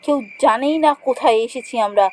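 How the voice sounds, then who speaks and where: A woman's voice: sits high, a little fast, darkish tone, lightly acted, poor audio. A woman voicing a timid, grumbling young woman in a Bengali cartoon ghost story, fretful and complaining in her delivery. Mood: fearful, grumbling.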